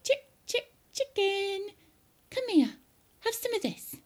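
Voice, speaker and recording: Casting: woman to play an earnest storybook boy, raised pitch, calling out, eager and a little defiant. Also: very animated, slow pace, full character voice. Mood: coaxing. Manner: calling out and protesting. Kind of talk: children's storybook character reading.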